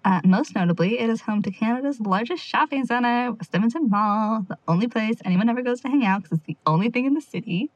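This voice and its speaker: A woman playing a wry young amateur investigator in an audio drama, quietly talking through her notes in a rambling, sardonic, confiding way. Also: fairly high pitch, expressive, fast, hardly any pauses, no echo, dull audio. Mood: hushed, wry. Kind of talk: audio drama monologue, casual confiding log.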